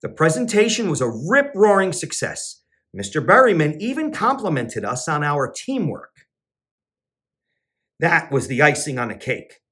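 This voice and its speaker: A man, a teacher and children's entertainer, reading a picture book aloud with expressive storybook narration. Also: measured pace. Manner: expressive storybook narration with sing-song pitch swings.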